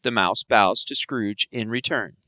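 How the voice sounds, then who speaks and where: A man's voice: lowish voice, measured pace, bright, forceful tone, dry recording, clean studio sound. A man reading scripted dramatic narration for a radio play, describing scenes as they unfold.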